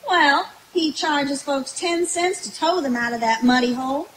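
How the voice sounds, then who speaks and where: Woman playing a high-pitched, giggly country gal. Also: unhurried, fairly bright tone. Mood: folksy, joking. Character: folksy, jokey, man-hungry country woman named Mabel. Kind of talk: old-time radio comedy skit before an audience. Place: outdoor woodland stage at night. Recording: hissy live camcorder recording from a distance.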